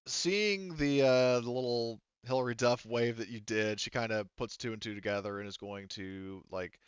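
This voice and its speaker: A man's voice: mid-range voice, normal speed, clean tone, dry recording, lo-fi sound. A man acting as game master, casually narrating and refereeing a tabletop roleplaying game, thinking aloud and often correcting himself.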